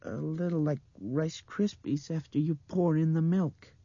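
Guy doing a scared dreamy little girl for an audiobook, really high trembly voice, kinda muffled recording. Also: steady tone, a little slow, warm tone, dry recording. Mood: intent, mimicking. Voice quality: breathy, trembling. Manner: dreamy, insistent. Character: frightened blind little girl, dreamy and eerily intense.

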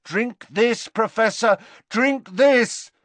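A man's voice: very high-pitched, very animated, slow pace, a bit bright, slightly rough, no room sound, voice pushed up, heavily acted. A man voicing a desperate, frightened teenage boy wizard in an audiobook, urgent and breathless, with a cracking voice. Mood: urgent pleading. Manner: urgent, coaxing repetition.

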